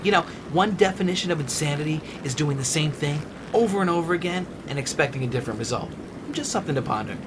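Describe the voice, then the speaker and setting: An actor playing a smug, cheerfully menacing scientist captor in an audio drama, pompous and mock-polite. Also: male voice, on the high side, expressive, fast talker, background noise, close to an everyday voice. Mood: smug, preachy.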